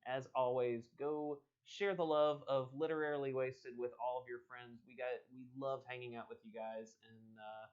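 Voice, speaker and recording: A man's voice: mid-range voice, little pitch movement, moderate pace, few pauses, a little mellow, clean tone, highs cut off. A man hosting a casual live stream, chatting and reading a story aloud. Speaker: host and book reader.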